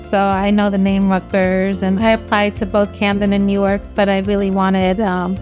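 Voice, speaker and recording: A woman in her thirties or early forties from New Jersey, reminiscing conversationally as an interviewee, in a halting way with small restarts. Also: mid-range voice, flat delivery, darkish tone, dull audio, faint music behind. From grew up in New Jersey. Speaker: law school alumna, interviewee.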